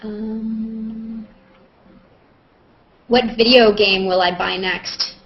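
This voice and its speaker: Woman hosting a live q&a over video call, kinda high voice, jokey casual chat, muffled lo-fi audio. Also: fairly even intonation, very slow. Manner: playful, joking, self-mocking. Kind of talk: casual chat, answering viewer questions.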